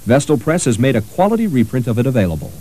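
A man narrates from a documentary script. He reads briskly, with a middle-range pitch and plenty of rise and fall. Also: sing-song, dark tone, soft background music.

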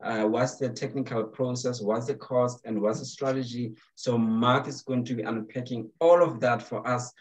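A man's voice: medium pitch, steady tone, moderate pace, hardly any pauses. A young man, hosting an online talk show over a video call and speaking fluently. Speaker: host. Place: home room, video call. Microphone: webcam on a video call.

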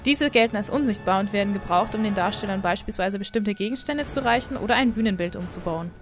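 A woman's voice: mid-range voice, fast talker. A woman host narrating a scripted explainer video in a friendly way. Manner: friendly, apologetic about pronunciation. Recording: voice-over with faint background music.

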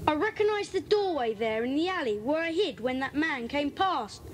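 A woman's voice: high-pitched, animated, moderate pace. A woman playing a small, earnest, puzzled schoolboy, questioning and insistent, in a children's audio drama. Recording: noisy, hissy old cassette tape.

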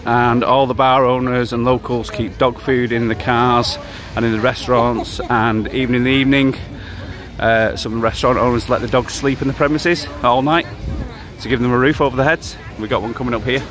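A man's voice: medium pitch, steady tone, moderate pace. A man narrating a casual walking tour on a busy seafront promenade at night, chatty and matter-of-fact as he lists prices. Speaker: travel vlogger. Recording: loud music and crowd around. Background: loud live music from bars.

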